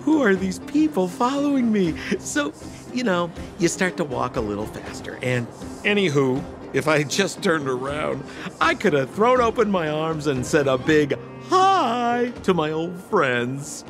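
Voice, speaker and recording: Shifty young game con man, lively swooping pitch, wheedling salesman patter, music behind. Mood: flustered, overfriendly. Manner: theatrical, exaggerated, fast-talking salesman patter. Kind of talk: video game voice acting.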